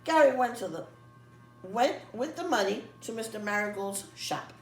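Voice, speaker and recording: Female storybook reader, animated delivery for children, roomy sound set back from the mic. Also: fairly high pitch, moderate pace. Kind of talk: expressive children's story read-aloud. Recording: echoey room, distant mic, music behind.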